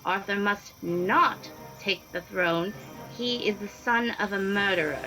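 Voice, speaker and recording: A woman's voice: medium pitch, unhurried, over music, close to an everyday voice, poor audio. A woman playing a regal young noblewoman in a fantasy radio play, formal and declamatory. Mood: stern, accusing.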